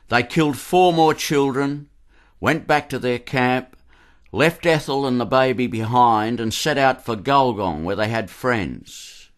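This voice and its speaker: A man with an Australian accent reading a scripted historical narration for radio in a measured storytelling manner. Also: mid-range voice.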